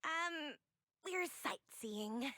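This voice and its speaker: A woman's voice: sits high, expressive, extremely slow, sharp tone, dry recording, studio quality, exaggerated voice. A woman voicing a teenage schoolgirl character in an anime action game. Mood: flustered, pleading.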